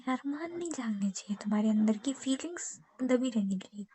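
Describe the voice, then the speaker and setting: High-pitched teasing young woman on phone. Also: animated, a little fast, mellow. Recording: faint noise on phone call.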